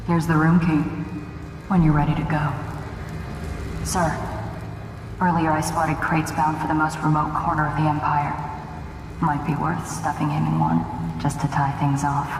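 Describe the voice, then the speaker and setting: A woman playing a cool, ruthless assassin's lieutenant in a video game, low-key and matter-of-fact. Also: level tone, measured pace, long pauses. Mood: dry, ruthless. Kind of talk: dramatic video game acting. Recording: clear background ambience noise.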